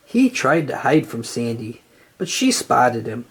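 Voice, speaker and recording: A narrator reading a children's storybook aloud in an animated style. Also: medium pitch, a little slow, slightly rough.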